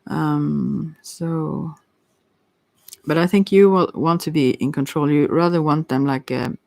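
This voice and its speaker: An ASMR tarot reader improvising a reading, hesitant and often pausing to search for words. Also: mid-range voice, steady tone, gravelly, a little mellow, no room sound. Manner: hesitant, searching for words, with long thoughtful pauses. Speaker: tarot reader hosting a live session.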